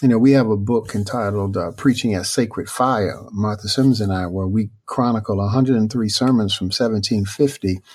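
A thoughtful preacher talking things through in an interview, pausing and restarting as he finds his words. His voice sits a little low for a man but is not booming or deep. He speaks steadily and without showmanship. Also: a little mellow, breathy edge. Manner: thoughtful, unhurried, many fillers and restarts. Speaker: preacher and author, interview guest. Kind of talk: reflective conversational interview answers.

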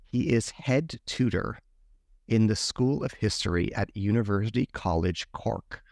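Formal gravelly male podcast host. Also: lowish voice, a little slow, darkish tone, dry recording, studio quality. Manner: formal, ornate phrasing. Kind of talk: formal interview questions.

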